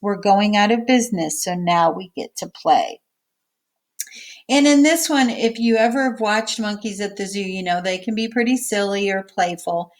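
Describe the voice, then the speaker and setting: A woman's voice: medium pitch, normal speed. Woman reading aloud and explaining to children. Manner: explains simply, checking in with okay and all right. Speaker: teacher reading a picture book aloud.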